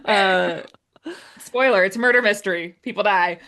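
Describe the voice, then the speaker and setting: A woman's voice: medium pitch, moderate pace, gravelly, a bit bright. A woman talking casually as a guest writer on a podcast, explaining how a production was made. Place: remote video call.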